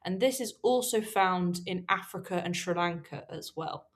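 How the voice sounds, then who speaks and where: A woman's voice: lowish voice, normal speed. A young adult woman co-hosting a podcast, chatting casually and sounding easily impressed and enthusiastic. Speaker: podcast co-host.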